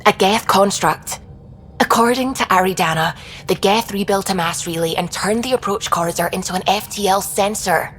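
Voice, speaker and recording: A woman playing a thoughtful, devout scientist on a space expedition, explaining things in a measured, reflective way in video game dialogue. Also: mid-range voice, fairly bright tone, slight background noise, lightly acted. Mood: explanatory. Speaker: science officer character.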